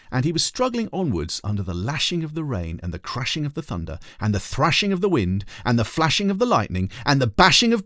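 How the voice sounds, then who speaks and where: A man reading a children's story aloud like a teacher, with expressive, dramatic emphasis. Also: on the low side, dry recording, clean studio sound. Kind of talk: animated storybook narration.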